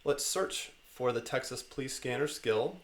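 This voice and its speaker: Male tutorial presenter, mid-pitched, for step-by-step instructional narration. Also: moderate pace.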